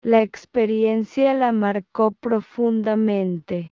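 A woman reading a text aloud phrase by phrase, then repeating each phrase slowly and calling out the punctuation marks. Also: fairly high pitch, clean tone, dry recording, lo-fi sound.

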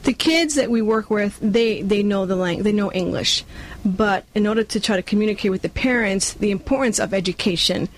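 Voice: medium pitch, brisk, poor audio. A community organizer with a New York accent and Spanish mother tongue, earnestly explaining the work to a local interviewer, light noise around. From born in Cuba, grew up in Brooklyn, New York. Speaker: community nonprofit leader being interviewed. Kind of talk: conversational interview answers.

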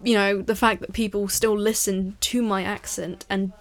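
A young woman in her late teens of half Thai, half British background, speaking earnestly in a casual discussion and pausing often on fillers. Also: mid-range voice, flat delivery. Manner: earnest, ranting, hesitant with fillers. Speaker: discussion participant. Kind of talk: casual conversation.

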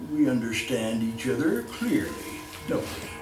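A man's voice: mid-range voice, big pitch swings, takes it slowly. An actor playing a gleeful, sinister old male killer in old-time radio style, stammering and chuckling with mock courtesy. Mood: sly, fussing. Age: older. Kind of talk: live old-time radio horror acting. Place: live stage performance. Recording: roomy, distant live stage with music.

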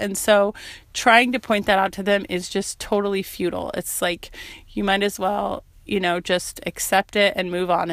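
A woman's voice: medium pitch, a bit bright, no room sound. Woman, sick and losing her voice, solo podcast host, earnest reflective chat, dry close recording. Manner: earnest, reflective, frequent "um" and "you know". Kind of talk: casual solo podcast talk.

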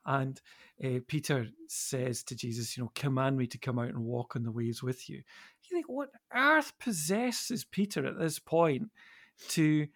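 A man in a reflective Bible discussion, speaking in a measured, deliberate way with pauses. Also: medium pitch, animated, a bit bright. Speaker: podcast panellist.

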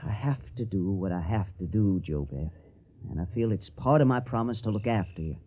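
A man's voice: fairly low pitch, expressive, normal speed, warm tone, breathy edge, muffled recording. A man playing an earnest, dutiful young farmer with a Southern accent in a radio drama. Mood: resolute.

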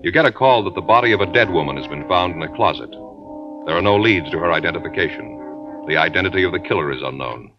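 A male announcer with a booming, emphatic delivery, reading an introduction and commercial in 1950s radio style. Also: lively intonation, fast, lo-fi sound, music in the background.

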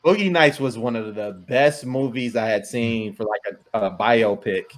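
A man's voice: medium pitch, normal speed. A man co-hosting a podcast in casual chat, informal and emphatic, often repeating short phrases. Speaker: podcast co-host.

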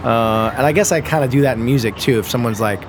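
Brief: man in his thirties, musician guest, rambly animated storyteller, mid-pitched, background noise under the voice. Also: quick pace. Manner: rambling, animated storyteller who laughs often. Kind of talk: casual conversation.

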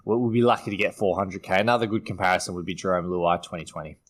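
A man's voice: deep voice, fast. A man giving casual opinions as a fantasy sports podcast panellist.

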